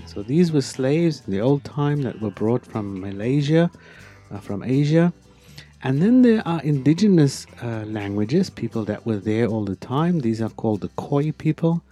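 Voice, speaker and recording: A middle-aged man from South Africa, Afrikaans his first language, speaking with a South African accent and patiently explaining things to children, slowly and with frequent ums. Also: medium pitch, mellow. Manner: slow, careful, with frequent ums. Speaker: interviewed guest.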